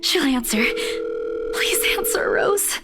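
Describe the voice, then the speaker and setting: A woman voicing a distraught young woman in a visual novel, pleading desperately in drawn-out cries. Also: high-pitched, very animated, over loud music, heavily acted.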